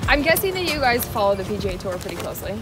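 A woman's voice: mid-range voice, very animated, racing. A young woman working as a team reporter, casually interviewing people while walking outdoors on a campus. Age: young adult. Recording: music bed over outdoor campus recording.

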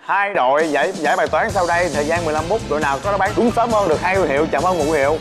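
A man's voice: fairly high pitch, rapid-fire. A man in his thirties hosting a comic family game show, teasing the others and reading out tasks in a playful way. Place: indoors in a large shop or showroom. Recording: music bed under the speech. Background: loud background music.

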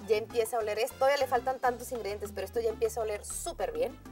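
A woman with a fairly high voice hosts a cooking demonstration, walking the viewer through each step in an upbeat, friendly way. Faint music plays under her voice, with a pan sizzling nearby. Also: rapid-fire, darkish tone. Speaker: cooking show host.

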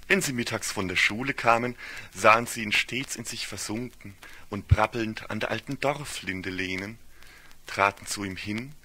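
A man's voice: fairly low pitch, normal speed, bright, forceful tone. A man narrating a literary audio story in a solemn, ornate fairy-tale storytelling manner.

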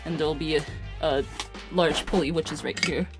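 A young woman narrating her own do-it-yourself boat work in a relaxed, explanatory way, pausing often with "uh" and "like". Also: low-pitched, over music. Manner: relaxed and explanatory, with many "uh" and "like" pauses. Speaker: solo sailor building her own electric boat. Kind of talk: casual DIY narration.

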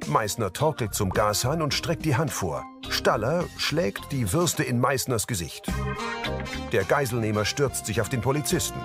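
A man's voice: mid-range voice, animated, moderate pace, music in the background. A man giving concise, neutral scripted narration of on-screen action as an audio describer.

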